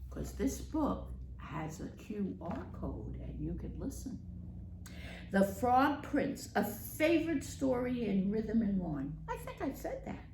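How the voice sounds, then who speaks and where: A woman children's librarian reading aloud at storytime in a teacherly way, posing questions and pausing for answers. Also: a little high, big pitch swings, creaky, echoey room, noisy background.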